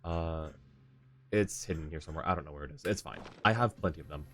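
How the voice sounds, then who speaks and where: A man's voice: rumbling low, quick pace, background noise. A man streaming a game live, casually thinking aloud as he reads what is on screen and in chat. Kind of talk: casual live gaming commentary. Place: indoor live stream setup. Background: faint game music.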